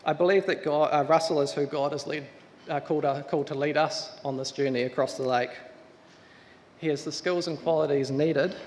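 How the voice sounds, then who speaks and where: Brief: earnest church committee man, slightly high voice, long hall reverb, faint room hum. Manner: earnest, measured, with restarts. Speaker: search committee member. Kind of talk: church address.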